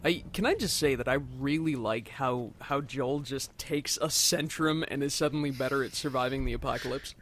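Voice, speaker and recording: A man's voice: medium pitch, moderate pace, hardly any pauses, fairly bright tone. A man co-commentating a let's play in casual, wry, exclamatory chat.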